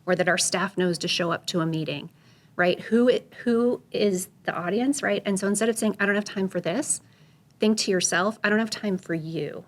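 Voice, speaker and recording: A woman's voice: mid-range voice, normal speed. A woman and teacher speaking casually in a professional discussion, chatty and often checking in with "right?". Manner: chatty, tags lines with "right?". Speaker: accessibility guest speaker.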